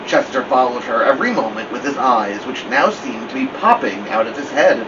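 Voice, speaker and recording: Animated male storybook narrator, distant mic. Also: mid-range voice, a little fast. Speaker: storytime host reading aloud. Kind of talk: expressive children's audiobook-style narration. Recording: dull, distant mic, steady air-conditioning-like noise.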